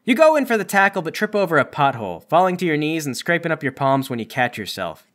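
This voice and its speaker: Male game master, lively range, a bit high-pitched, wry and put-upon between narration and banter. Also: fast talker. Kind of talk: tabletop roleplay narration and casual banter.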